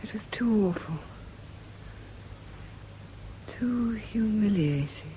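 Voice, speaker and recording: An actress playing a tormented, jealous, obsessive woman in a vintage British radio drama, with a British accent and intense, halting, theatrical delivery. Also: mid-range voice, animated, dark tone, slight background noise, natural voice, poor audio. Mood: drained confession.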